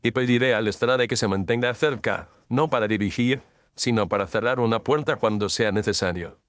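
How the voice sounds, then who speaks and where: A man's voice: medium pitch, moderate pace, no echo, natural voice. A man playing a cool, exacting detective who speaks in precise, commanding pronouncements. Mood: measured. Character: cool, exacting male detective, middle-aged. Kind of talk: radio drama acting.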